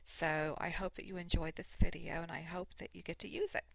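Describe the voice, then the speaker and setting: A sniffly woman hosting a casual, unscripted craft software tutorial, thinking aloud in short, halting steps. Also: lowish voice, flat delivery, creaky, no room sound. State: sniffly from allergies. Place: voice-over recorded indoors at a computer.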